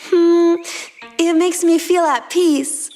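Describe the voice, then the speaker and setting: Woman voicing a shy, high-pitched anime girl. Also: steady tone, slow pace, warm tone, faint music behind, full character voice. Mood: shy, content. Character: shy, earnest, content young anime girl.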